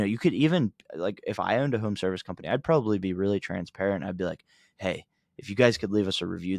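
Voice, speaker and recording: A man with a deep, creaky voice explains things like an informal online instructor. His delivery is conversational and plain-spoken, with emphasis on practical advice. The recording is dry and close, with little room sound. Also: dry recording. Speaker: host of marketing tutorial for contractors. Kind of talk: casual unscripted instructional talk.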